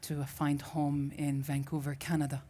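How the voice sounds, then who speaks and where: A woman's voice: sits low, flat delivery, normal speed, warm tone. A woman from Syria, a native Arabic speaker, giving a reflective personal story as a festival speaker and often restarting as she searches for words.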